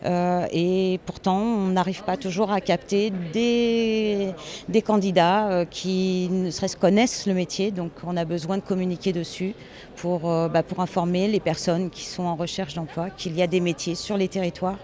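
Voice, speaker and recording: Company recruiter, mellow mid-range voice, explanatory interview delivery. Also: medium pitch, steady tone, moderate pace.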